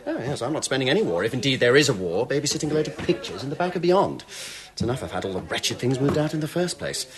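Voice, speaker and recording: An actor playing a snobbish, sardonic gallery director in a radio drama, arch and mocking. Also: male voice, medium pitch, very animated, fast talker, crowd noise, natural voice.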